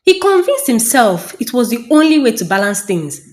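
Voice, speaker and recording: A woman narrating a folktale as a storyteller, in a dramatic, deliberate and suspenseful scripted style with pauses. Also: fairly high pitch, big pitch swings.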